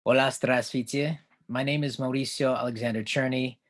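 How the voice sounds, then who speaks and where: A man's voice: mid-range voice, steady tone, moderate pace. A man from Mexico City, an activist and performing artist, giving a formal self-introduction on a video call. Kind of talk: online event presentation.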